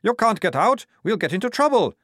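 A man's voice: sits high, very animated, fairly quick, fairly bright tone, no echo, studio quality, pitched above the performer's own voice, full character voice. A man doing an anxious, officious army corporal.

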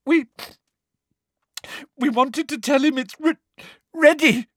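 A man's voice: very high-pitched, lively intonation, measured pace, sharp tone, no room sound, pitched above the performer's own voice, exaggerated voice. A man voicing a frightened teenage girl who stammers and sobs shrilly. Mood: tearful.

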